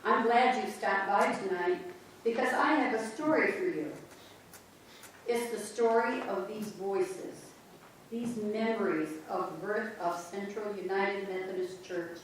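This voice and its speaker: A woman narrating a church history play on stage, telling the story slowly and directly to the audience. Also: mid-range voice, slight background noise. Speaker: narrator in a church reader's theater. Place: large church sanctuary. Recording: very echoey church from far off.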